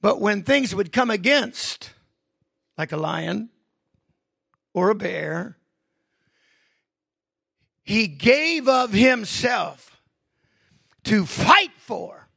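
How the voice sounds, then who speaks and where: Gravelly-voiced man preaching a sermon. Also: high-pitched, animated, very slow, long pauses, no room sound, clean studio sound. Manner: builds from quiet reflection to loud emphatic preaching. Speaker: pastor.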